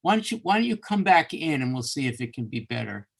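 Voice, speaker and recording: A man's voice: on the high side, big pitch swings, quick pace, gravelly, slightly rough. A man hosting an unscripted live webcast discussion, talking conversationally and rephrasing himself as he goes. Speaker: host of a live literature course webcast.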